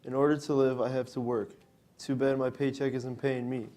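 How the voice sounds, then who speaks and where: A man's voice: medium pitch, little pitch movement, a little fast, dark tone, natural voice. A young man performing a scripted readers theater monologue as a student reader. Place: college library event. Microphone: worn microphone.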